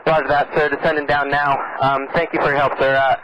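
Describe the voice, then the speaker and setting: A man's voice: fairly high pitch, little pitch movement, fast talker, a crowd in the background. A young man, a student pilot, making polite radio calls to air traffic control from a small cockpit, under stress. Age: young adult. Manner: polite, deferential. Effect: aircraft radio. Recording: noisy muffled cockpit radio transmission.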